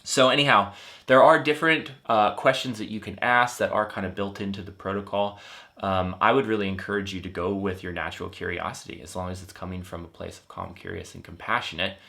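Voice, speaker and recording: A man in his thirties, a life coach, gently and earnestly explaining a therapy method, with frequent fillers and restarts. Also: low-pitched, steady tone, a little fast, vocal fry. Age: 30s. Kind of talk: explanatory teaching talk.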